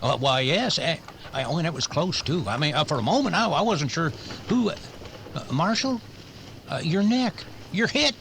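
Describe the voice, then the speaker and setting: A man's voice: a little high, expressive, moderate pace, gravelly, background noise. A man playing a chatty, ghoulish frontier doctor in a radio western, folksy, fussy and stammering. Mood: excited. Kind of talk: old-time radio western acting.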